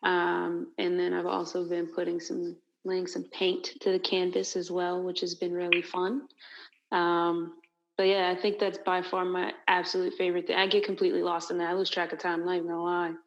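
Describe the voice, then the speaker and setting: A woman with a fairly low voice talks in a relaxed, chatty way as a co-host, often laughing. Her sound comes through a video call and is dull and lo-fi. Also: moderate pace, gravelly. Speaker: podcast co-host, educator. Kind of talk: casual conversation.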